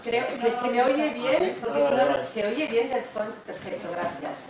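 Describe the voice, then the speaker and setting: A woman's voice: fairly high pitch, lively intonation, normal speed, over a noisy crowd. A woman lecturer, a native Catalan speaker with a Catalan accent, giving a conversational, explanatory public talk punctuated by tag questions. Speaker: university economics lecturer, guest speaker. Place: lecture room with strong echo. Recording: very echoey room, distant mic, noisy.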